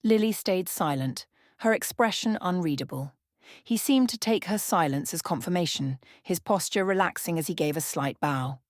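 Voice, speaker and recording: A woman's voice: fairly low pitch, animated, moderate pace, no room sound, clean studio sound. A woman storyteller reading a scripted bedtime tale in an ASMR narration style.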